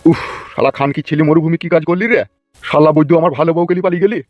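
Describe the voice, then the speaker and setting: Fast-talking, wailing old village husband acted by a man. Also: on the high side, dry recording, lightly acted. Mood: aggrieved. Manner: wailing, complaining, drawn-out pleading. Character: distraught old village husband abandoned by his wife. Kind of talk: comic village cartoon dialogue. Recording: dull lo-fi sound with music behind.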